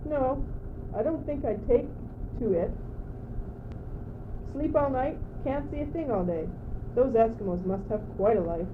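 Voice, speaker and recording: A woman's voice: a little high, animated, unhurried, pauses often. A teenage girl playing a naive, anxious courtier who follows along in an amateur radio play, hesitant and plaintive. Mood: idle. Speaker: student actor. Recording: dull, noisy old amateur recording.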